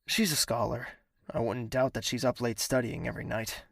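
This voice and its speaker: A young royal prince in an audio drama. His voice is medium-pitched with plenty of creak, measured and formal in delivery, and recorded very dry. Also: fairly even intonation, fairly bright tone, no echo. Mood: calm, reassuring. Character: young prince, tender in private, commanding with subordinates.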